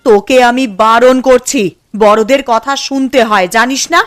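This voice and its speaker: A woman's voice: a little high, fairly even intonation, normal speed, no echo, clean studio sound, faint music behind, close to an everyday voice. A woman playing a stern household elder in a cartoon moral story, commanding and admonishing. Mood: stern, scolding. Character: stern, scolding female elder of a village household.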